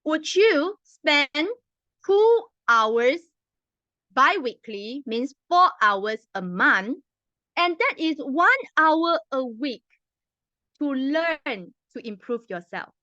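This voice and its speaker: A woman's voice: sits high, animated, slow pace, bright, forceful tone, dry recording. A young woman with a Mandarin accent, a non-native English speaker, opening a club meeting with a rehearsed speech full of emphatic rhetorical questions. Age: young adult. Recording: dull lo-fi dry sound.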